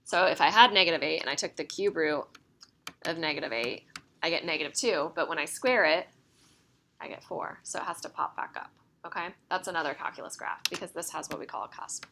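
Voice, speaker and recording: Creaky-voiced woman teaching calculus. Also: low voice, brisk, sharp tone, slight background noise. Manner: explains step by step, checking in with "right?". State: slightly losing her voice. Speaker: math teacher. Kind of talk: classroom lecture. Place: classroom.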